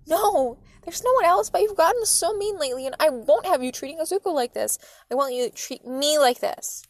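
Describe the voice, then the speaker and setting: A woman voicing a protective, desperate mother who yells and pleads emphatically in a dramatic story reading. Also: high-pitched, animated, brisk, pitched above the performer's own voice. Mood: pleading. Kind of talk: dramatic fan fiction reading.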